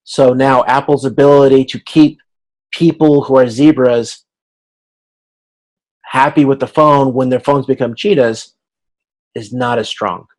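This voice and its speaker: A man giving an informal explanatory lecture as an instructor on a video conference, haltingly thinking aloud. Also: medium pitch, fairly even intonation, a little mellow, poor audio.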